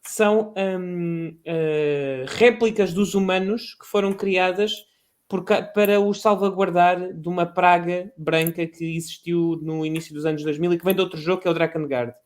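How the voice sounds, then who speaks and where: A man with a European Portuguese accent, chatting as a podcast guest, passionate and halting with many restarts. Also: high-pitched, steady tone. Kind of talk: casual chat.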